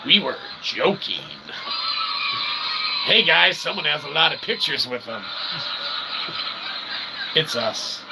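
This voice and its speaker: A man's voice: fairly high pitch, lively intonation, slow, creaky, pauses often, very noisy. A man hosting his own channel with a rambling, self-deprecating comic monologue, folksy and often tagging his phrases with a questioning okay. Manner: folksy, repeats "okay?" as a tag; self-deprecating, tongue-in-cheek. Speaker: independent internet channel host promoting his books.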